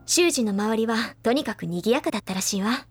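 物語るように話す、抑揚豊かな女子高生の先輩、背景に音楽. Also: female voice, fairly high pitch, lively intonation, fairly quick, husky, soft background music. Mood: playful, informative. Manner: feminine, storytelling delivery. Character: confident, teasing high-school senior girl sharing gossip. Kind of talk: anime audio drama dialogue.

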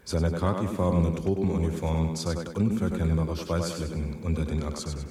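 Actor as deep, echoing solemn male reporter. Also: moderate pace, over loud music, natural voice. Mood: solemn, deadpan. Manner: formal, deadpan reportage. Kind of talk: 1970s German radio play narration. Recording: strong echo.

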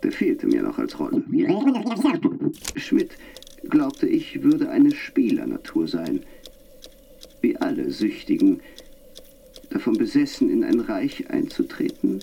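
Reflective narrator, mellow dark voice, gravelly and husky. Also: male voice, on the low side, big pitch swings, slow, long pauses, exaggerated voice. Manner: reflective, half-whispered narration. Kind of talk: radio play narration.

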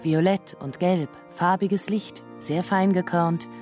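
An actress narrating as an observer in a lyrical literary radio drama, describing things in vivid detail. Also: female voice, on the low side, fairly even intonation, slow pace, a little mellow, soft background music. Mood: observant, lyrical.

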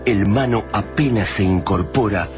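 Clear music plays under a very low-voiced man with an Argentine accent. He reads scripted narration as the narrator of a radio drama. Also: moderate pace. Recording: music behind the voice.

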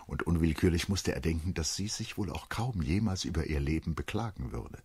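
A man with a very deep, rough and breathy voice narrates a literary radio drama. His delivery is measured, and the recording is close and dry. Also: lively intonation, a little mellow, no room sound. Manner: measured storytelling.

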